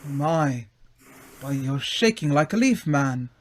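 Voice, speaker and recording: A hearty, self-assured gentleman acted by a man with a somewhat high voice. He is jovial yet probing and insinuating, in old-time radio drama style. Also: lively intonation, takes it slowly, a little hiss or hum. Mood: probing, solicitous. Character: smooth, jovial, probing male acquaintance with authority.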